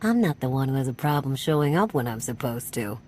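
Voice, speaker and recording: A woman's voice: very deep voice, very animated, fairly quick, mellow, a little hiss or hum. A woman voicing a bossy, unforgiving partner in crime in a video game, with dry, teasing sarcasm. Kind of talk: video game cutscene acting.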